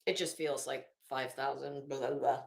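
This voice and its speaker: A woman's voice: rumbling low, fairly even intonation, normal speed, creaky. Sassy woman chatting in an echoey room. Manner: sassy, profane, wry. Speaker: livestream host. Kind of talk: casual livestream chat, rambling storytelling.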